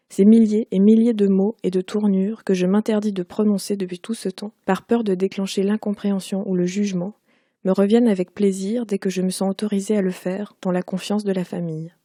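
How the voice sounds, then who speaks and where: A woman in her forties from Quebec, speaking with a Parisian French accent, reading aloud from her own book in a measured, unhurried way. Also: mid-range voice, mellow, dry recording, studio quality. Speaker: author.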